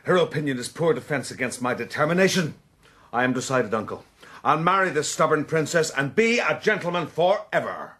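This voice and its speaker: Bright, brash young adventurer. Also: male voice, a little high, lively intonation, a little fast, a little hiss or hum. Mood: determined. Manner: theatrical, self-assured. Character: brash young gentleman adventurer, ambitious and eager nephew. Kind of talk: radio drama acting.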